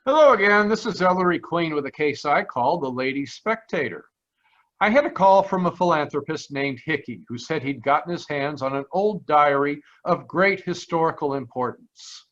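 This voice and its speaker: A man's voice: on the high side, expressive, a bit bright, muffled recording. Man narrating an old-time radio mystery. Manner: measured first-person storytelling. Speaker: radio actor narrating as a detective. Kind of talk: 1940s radio drama narration. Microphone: home microphone on a video call.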